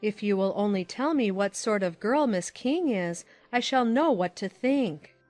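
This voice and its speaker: A woman voicing a sensible, concerned middle-aged aunt in a dramatized classic novel, gently admonishing in measured, formal phrases. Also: mid-range voice, animated, faint music behind, natural voice.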